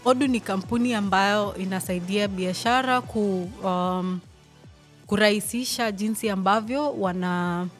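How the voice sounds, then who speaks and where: A young woman from Kenya, a sales team leader, explaining things casually in an interview, relaxed and chatty with frequent fillers. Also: mid-range voice, a little slow, soft background music. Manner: relaxed, explanatory, laughs easily, many fillers.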